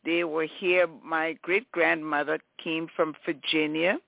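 A woman from Liberia with a Liberian accent, telling her story graciously and in a measured way as an interview guest. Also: low voice, little pitch movement, vocal fry, no room sound. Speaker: podcast guest, nonprofit director. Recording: narrow phone-like band.

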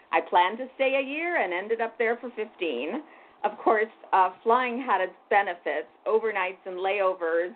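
An older woman from Hungary, president of a museum board, introducing herself as a guest on a radio talk show in a composed, measured way. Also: mid-range voice. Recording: tinny phone-like line with faint noise.

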